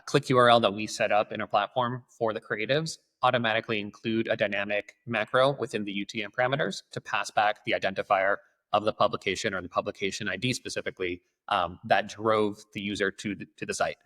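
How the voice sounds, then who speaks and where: A man's voice: on the low side, pushed and bright. A man speaking as an interview guest, explaining things in a detailed, businesslike way. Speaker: podcast guest, advertising company lead.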